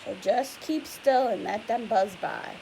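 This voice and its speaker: A woman's voice: medium pitch, a little slow, vocal fry, a little mellow, low-quality recording. A young woman teacher reading a picture book aloud to children, chatty and explanatory, stopping often to ask questions. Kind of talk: read-aloud lesson for children. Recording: faint hiss.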